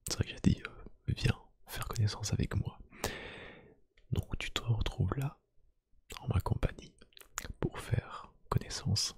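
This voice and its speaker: A man doing a soft-spoken ASMR roleplay, gently whispering one-to-one to the listener in a hushed, breathy voice. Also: lowish voice, unhurried, pauses often. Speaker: ASMR YouTube creator. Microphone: close microphone.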